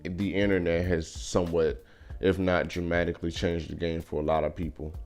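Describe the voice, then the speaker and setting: A young man talking through his opinions in a casual vlog, thoughtful and measured, with frequent fillers. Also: deep voice, level tone, a little mellow, soft background music. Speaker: vlogger. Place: car.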